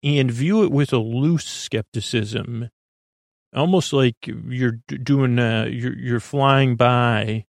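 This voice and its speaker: A man's voice: medium pitch, takes it slowly, no room sound, clean studio sound. A middle-aged man hosting a sleep podcast, meandering through tangents with many uhs and restarts. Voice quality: creaky. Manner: meandering, self-interrupting, lots of uhs and restarts. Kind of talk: rambling bedtime monologue.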